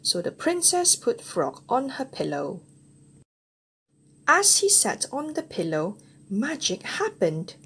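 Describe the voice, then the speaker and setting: Female storybook reader, high-pitched and hugely lively, addressing small children with expressive narration. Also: slow, vocal fry, slightly rough. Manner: animated, addressing young children and parents.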